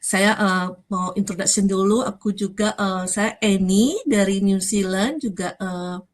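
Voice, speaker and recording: A woman's voice: mid-range voice, a little slow. A woman with an Indonesian accent hosting a casual live talk, mixing in English words and often rephrasing as she goes. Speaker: host.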